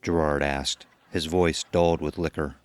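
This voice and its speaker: A man's voice: extremely low pitch, fairly even intonation, normal speed, clear, resonant tone, dry recording. A man narrating a scripted horror story as the storyteller of an audio drama.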